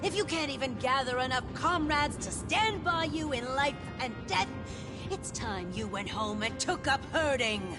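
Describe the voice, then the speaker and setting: A woman's voice: high voice, lively intonation, moderate pace. A woman playing a haughty, mocking herald of a brutal warrior festival in a video game, declaiming theatrically and with scorn. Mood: mocking, scornful. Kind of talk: grand theatrical game voice acting. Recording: loud game music underneath.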